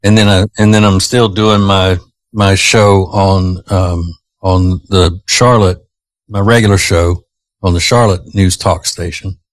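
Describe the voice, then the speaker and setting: A man's voice: sits low, fairly even intonation, measured pace, no echo. A male radio host chatting casually on a morning show, his voice slightly strained. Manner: chatty, teasing, rambling. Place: radio studio. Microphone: studio microphone.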